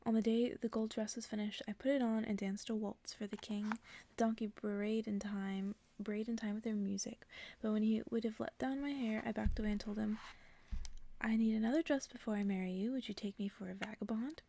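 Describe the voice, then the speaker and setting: A woman reading a story aloud as ASMR in an intimate, hushed whisper. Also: mid-range voice, fairly even intonation, brisk, vocal fry, a little hiss or hum. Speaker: ASMR reader.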